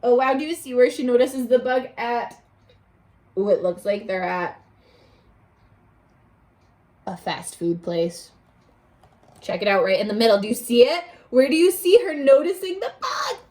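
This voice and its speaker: A woman reading aloud to young children like a teacher, expressive and exclamatory, pausing to coax them with questions. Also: on the high side. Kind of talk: animated children's read-aloud with questions to listeners.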